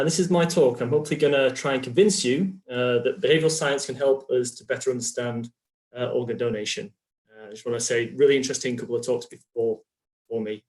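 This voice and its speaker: A man from the UK with a British accent, a postdoctoral researcher giving a conference presentation over a video call, explaining with frequent ums and uhs. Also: medium pitch. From United Kingdom.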